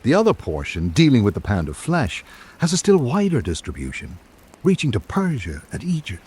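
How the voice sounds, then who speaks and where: A man reading literary scripted narration in a measured, formal, reflective manner, as for a radio drama. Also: medium pitch, wildly swooping pitch, a little mellow, slightly rough.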